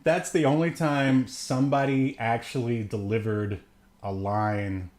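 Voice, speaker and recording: A man's voice: medium pitch, steady tone, unhurried, a little mellow. A man co-hosting a podcast, giving a casual, comedic movie recap in a rambling, jokey way with frequent chuckles. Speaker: podcast co-host reviewing films.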